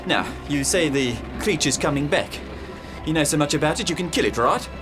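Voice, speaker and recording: An actor playing a menacing, condescending armed bully in an audio drama, giving curt orders. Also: male voice, on the high side, expressive, brisk, close to an everyday voice. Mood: threatening. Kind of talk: full-cast audio drama acting. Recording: music under the voice.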